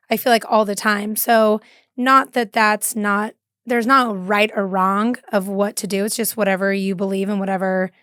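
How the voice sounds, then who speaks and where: A woman in her thirties from San Diego, an easygoing podcast guest who fills pauses with "yeah". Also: mid-range voice, a bit bright, clean tone, no room sound, clean studio sound. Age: 30s. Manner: easygoing, many fillers and yeahs. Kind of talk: casual interview chat.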